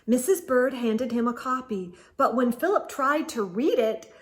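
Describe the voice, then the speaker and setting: A woman reading a story aloud to children as narrator, in a deliberate, expressive way. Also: on the high side.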